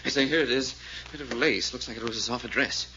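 An actor playing an excitable, proper British gentleman detective in a 1940s radio thriller. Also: medium pitch, brisk, natural voice. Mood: alarmed, urgent. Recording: dull, hissy old radio recording.

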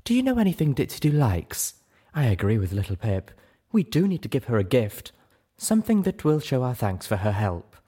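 Man voicing a refined, tactful lady unicorn, lifted pitch. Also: very animated, normal speed, dark tone, breathy edge. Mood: concerned reproof. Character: refined, composed female unicorn, tactful and caring. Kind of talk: audiobook character voice.

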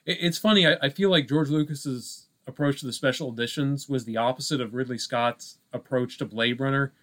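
A man co-hosting a casual film discussion podcast, speaking haltingly and peppering his sentences with "you know" and "like". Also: medium pitch, fairly bright tone. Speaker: podcast co-host.

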